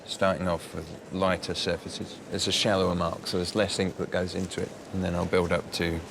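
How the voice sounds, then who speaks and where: Male artist, very low and gravelly, flat and methodical. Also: fairly quick, background noise. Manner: calm, methodical. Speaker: contestant artist. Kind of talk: explaining his process.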